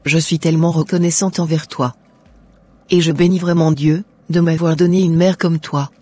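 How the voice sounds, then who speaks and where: A woman's voice: low voice, fairly even intonation, normal speed, mellow, breathy edge. A woman playing a devout daughter in her twenties in a cartoon, speaking earnestly and formally. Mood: grateful. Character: devout, anxious 27-year-old unmarried daughter. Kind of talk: scripted cartoon dialogue.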